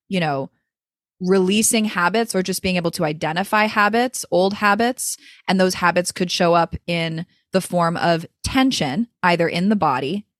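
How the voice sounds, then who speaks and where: Low-voiced, thoughtful woman voice coach. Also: no room sound, clean studio sound. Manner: thoughtful, flowing, many "like" and "you know" fillers. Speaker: voice coach, guest. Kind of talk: reflective interview talk.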